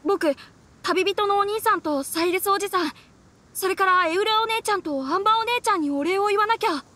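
A woman's voice: extremely high pitch, fairly quick, bright, forceful tone. A woman voicing a sweet, eager little boy in anime-style game dialogue, earnest and childlike. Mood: grateful, wistful.

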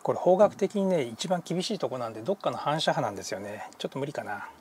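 A man's voice: mid-range voice, expressive, quick pace, a little mellow, slight background noise. A man giving casual vlog narration as a ham radio hobbyist, often muttering hesitantly to himself. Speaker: amateur radio hobbyist and vlogger.

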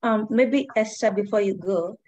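A woman's voice: medium pitch, moderate pace, darkish tone. A young adult woman hosting a webinar, putting a thoughtful question to the guest over a video call and searching for her words. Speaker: webinar host.

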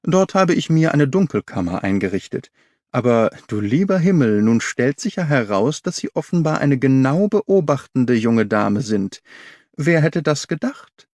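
A man voicing a jovial country gentleman in an audiobook, polite and exclamatory, with cheer that covers suspicion. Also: mid-range voice, very animated, unhurried, no room sound, studio quality. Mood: forced cheer.

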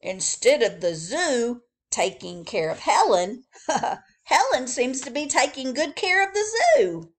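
A woman's voice: very high voice, sing-song, takes it slowly, hardly any pauses, voice pushed up, exaggerated voice. A woman reading a storybook aloud, voicing an amused, chuckling father. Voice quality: loud, amused.

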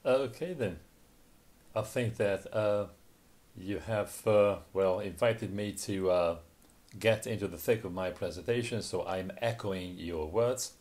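A man interpreting a conference talk live, with a deep, low voice. He pauses often, filling the gaps with small hesitations and restarting his sentences as he goes. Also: darkish tone. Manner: hesitant, many fillers and restarts. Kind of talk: simultaneous interpretation of a video-call talk.

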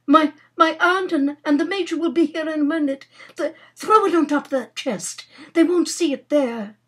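A woman's voice: high voice, normal speed, pitched above the performer's own voice, close to an everyday voice. A woman voicing an anxious young niece, agitated and pleading, in a storybook reading. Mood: frantic. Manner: agitated, pleading, breathlessly urgent.

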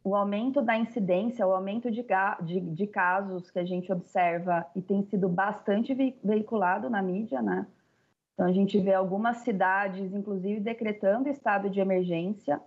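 A woman in her thirties with a Brazilian accent, explaining haltingly in an interview over a video call. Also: medium pitch, breathy edge, narrow, tinny audio. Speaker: pharmacy professional interviewee. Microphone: video call with earphones.

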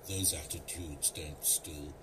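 Man, thoughtful radio book talk, very low voice, heard on a car radio under heavy road noise. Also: steady tone, takes it slowly, far from the mic. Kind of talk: radio discussion.

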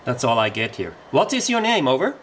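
A man's voice: mid-range voice, huge pitch swings, fairly quick, fairly bright tone, slight background noise. A man making a casual amateur radio contact, speaking politely and using radio procedure words.

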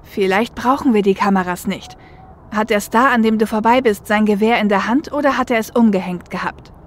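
A woman's voice: medium pitch, a little fast, close to an everyday voice. Cyberpunk audio drama: analytical, level-headed female runner and driver, mid-range voice, played by a woman. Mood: focused planning. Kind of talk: fan audio drama acting. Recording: faint car and traffic noise.

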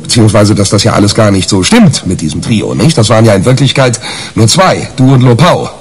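Radio crime drama: male actor as cynical hungover reporter, low-pitched, highly animated, blustery and colloquial. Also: fairly quick, natural voice. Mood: angry, taunting. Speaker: lead actor and narrator in a crime radio play. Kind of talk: 1980s German radio drama acting, colloquial narration.

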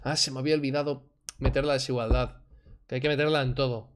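A man's voice: medium pitch, steady tone, fast, a crowd in the background. Man, 30s, sarcastic, mocking, home streaming setup. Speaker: reaction streamer. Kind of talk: casual commentary over a clip. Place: home room, indoors. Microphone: desk mic, wearing headphones.

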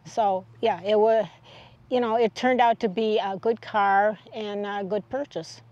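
A woman's voice: medium pitch, steady tone, a little slow, darkish tone, no echo, dull audio. An older American woman casually recounting a trip as a travel vlog host, speaking outdoors in the open air.

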